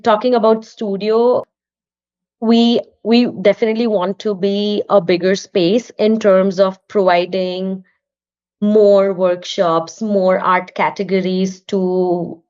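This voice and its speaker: A woman's voice: medium pitch, level tone, takes it slowly, darkish tone, lo-fi sound. A young woman with an Indian English accent, talking as a podcast guest in an earnest, encouraging way. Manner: earnest, encouraging, with frequent restarts. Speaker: podcast guest, artist and studio owner. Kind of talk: casual interview talk.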